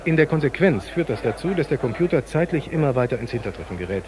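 Ein Schauspieler spielt in einem Hörspiel einen ernsten Computerwissenschaftler, der präzise und lehrhaft sein System erklärt. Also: male voice, mid-range voice, sing-song, quick pace, a crowd in the background, lightly acted. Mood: earnest, explanatory. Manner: didactic, precise, technical. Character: male computer scientist explaining his system, earnest and clinical. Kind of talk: radio drama dialogue.

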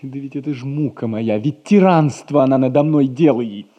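An actor playing a poor, lovelorn young clerk, plaintive and self-pitying, in a theatrical 1960s audio comedy. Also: male voice, mid-range voice, moderate pace, warm tone, muffled recording, lightly acted.

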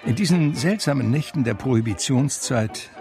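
An actor playing a wistful blind pianist who narrates his life in a radio drama, measured and reflective, with a gravelly voice. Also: medium pitch, lively intonation, over music, lightly acted. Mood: nostalgic. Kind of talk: literary first-person radio drama narration.

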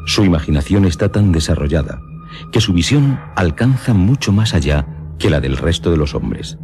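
A man reading scripted radio narration in a solemn, measured storytelling manner. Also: low voice, very animated. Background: music behind the voice.

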